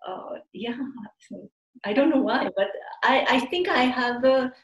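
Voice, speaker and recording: A woman from Japan, speaking English with a Japanese accent, telling her life story in a remote interview, soft-spoken and hesitant. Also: high voice, expressive, a little slow, muffled recording. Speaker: guest. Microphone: video call. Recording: roomy remote call audio.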